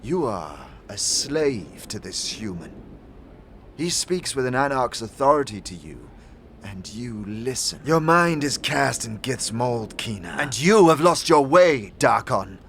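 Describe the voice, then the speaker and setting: A man's voice: mid-range voice, very animated, unhurried, vocal fry, a bit bright, slight background noise, pitched above the performer's own voice, full character voice. A man voicing a stern, hostile female alien warrior in a fantasy audio drama, speaking in a formal, archaic and accusatory way. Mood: contemptuous.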